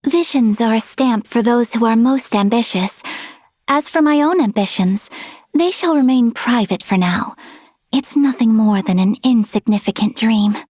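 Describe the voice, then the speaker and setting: Casting: actress for refined young noblewoman, game character. Higher-pitched, dry studio sound, gracious and formally spoken. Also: darkish tone, no echo, lightly acted. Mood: poised, courteous. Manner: formal, poised, politely measured diction. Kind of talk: video game character voice lines.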